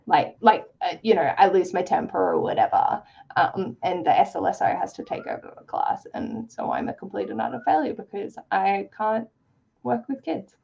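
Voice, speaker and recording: A woman co-hosting a livestream, chatting casually in an emphatic, expressive way. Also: medium pitch, moderate pace, creaky, highs cut off, slight background noise. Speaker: co-host of a live reading sprint stream.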